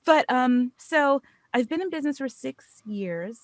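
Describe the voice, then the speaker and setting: An American woman chatting casually as a podcast guest, telling anecdotes in an animated, self-deprecating way. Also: sits high, a little slow, dry recording. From United States. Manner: animated storyteller, self-deprecating, acts out quotes. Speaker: podcast guest, voice actor and business owner.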